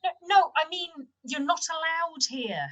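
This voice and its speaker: A woman's voice: very high-pitched, expressive, unhurried, sharp tone, heavily acted. A woman playing an officious, flustered young security officer in a radio pantomime. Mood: flustered, bossy. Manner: self-important, flustered.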